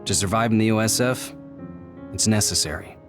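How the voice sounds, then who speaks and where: A man voicing a calm, considerate squad captain in an anime-style video game, with a measured, authoritative delivery. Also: fairly low pitch, music in the background, natural voice. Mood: solemn, consoling.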